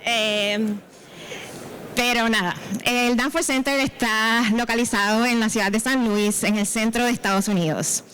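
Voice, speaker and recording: A woman's voice: fairly high pitch, a little slow, fairly bright tone, slight background noise, low-quality recording. A woman from Puerto Rico with a Puerto Rican accent, speaking as a panelist at a conference in a courteous, lightly humorous way. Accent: Puerto Rican Spanish. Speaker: panelist, innovation manager. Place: conference auditorium.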